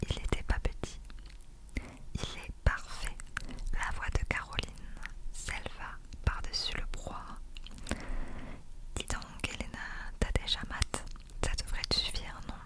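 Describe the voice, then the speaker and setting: A woman reading a novel aloud in a soft, unvoiced whisper, in the style of ASMR storytelling. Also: a little slow, long pauses.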